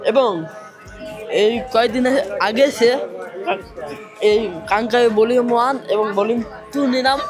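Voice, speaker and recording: Halting young fan interview over music. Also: mid-range voice. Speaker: anime fan being interviewed. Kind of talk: informal interview answers.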